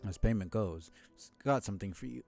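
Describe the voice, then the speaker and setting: A man voicing a gruff, grateful tavern keeper in a video game, with folksy, old-fashioned phrasing. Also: lowish voice, a little fast, a little mellow, faint music behind.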